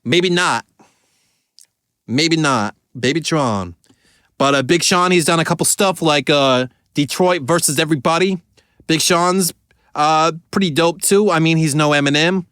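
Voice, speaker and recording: A man with a voice going hoarse, chatting loosely as he reacts to music, with lots of filler words and swearing. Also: on the high side, unhurried, fairly bright tone, no echo. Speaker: caricature artist reacting to music. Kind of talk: casual reaction commentary.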